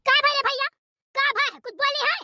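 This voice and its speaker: A woman's voice: very high voice, little pitch movement, fairly quick, bright, forceful tone, no echo, studio quality, full character voice. A woman voicing a fierce, quarrelsome old village mother-in-law in a cartoon, with a scolding manner. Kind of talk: cartoon voice acting.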